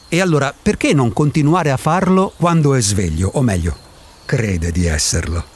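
Radio drama male actor as an eccentric recluse: provocative, impatient philosopher, husky voice, very animated delivery. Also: medium pitch. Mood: impatient, lecturing. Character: eccentric solitary man insisting he does not exist. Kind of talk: Italian radio drama acting.

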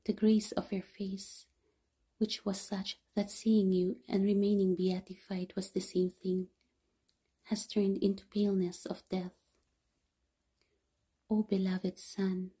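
Brief: woman reading a mourning mother, slow, breathy, half-whispered. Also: mid-range voice. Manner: soft half-whispered lament. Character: grieving mother mourning her dead son.

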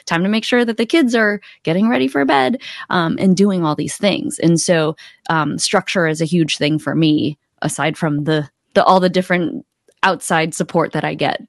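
American woman, slightly low, dry close mic. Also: dry recording. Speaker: podcast guest, accountability coach. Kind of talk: casual conversational advice. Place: home room indoors, video call. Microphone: close podcast microphone.

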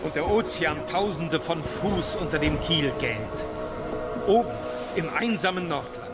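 An actor playing a reverent guest of the emperor, reading florid travel memoirs in a stately way. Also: male voice, fairly high pitch, expressive, a little slow. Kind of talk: literary travelogue reading in a docudrama.

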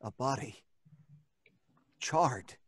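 An actor playing an anxious, disbelieving husband in an old-time radio horror play, earnest, halting and melodramatic. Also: male voice, medium pitch, slightly rough, no room sound. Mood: reluctant, grim. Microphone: close studio microphone.

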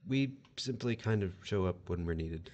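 A man plays a wry, officious spirit who collects the dead in a horror audio drama. His pitch swings theatrically through formal explanations, on a slightly muffled recording. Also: animated, moderate pace, mellow. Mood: wry, apologetic. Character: smooth-talking, officious male otherworldly collector of souls.

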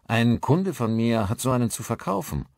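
A man's voice: medium pitch, very animated, normal speed, gravelly, a little mellow, no room sound, clean studio sound. A man playing a polite, inquisitive newcomer to a village in an audiobook, speaking casually.

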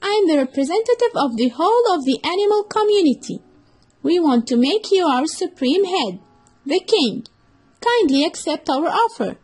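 Storybook fox, played by a woman: high-pitched, cunning, emphatic flatterer with a scornful edge. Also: normal speed. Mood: flattering, urgent. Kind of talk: children's storybook reading.

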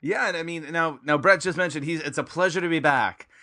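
A man's voice: fairly high pitch, brisk, bright, forceful tone. A man from Canada hosting a podcast, chatting casually and upbeat with his listeners and his guest. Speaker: podcast host. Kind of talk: casual podcast intro and interview. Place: his home in Kobe, Japan.

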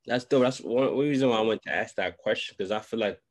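Low-pitched man hosting a chat. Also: fast. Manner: slangy, repeats phrases for emphasis. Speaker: host. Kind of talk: casual interview chat. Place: small room at home, indoors. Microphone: large desk microphone close up, video call.

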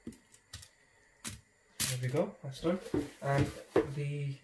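A man hosting a home cooking channel, talking viewers through a recipe step by step in a steady, instructive way from his kitchen. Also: on the high side, drawn-out words, very noisy. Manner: steady, instructive, often addresses viewers as guys.

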